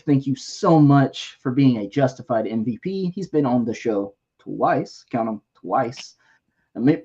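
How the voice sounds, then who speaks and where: A man hosting a casual toy-collecting livestream, losing his voice a bit, reading out member shout-outs with jokes. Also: medium pitch, dull audio. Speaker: livestream host.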